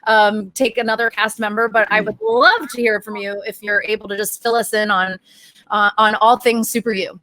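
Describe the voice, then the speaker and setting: A woman's voice: medium pitch, sharp tone, no room sound, poor audio. A woman hosting a group interview over a video call, upbeat and encouraging. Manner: upbeat, encouraging, quick affirmations. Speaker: radio host.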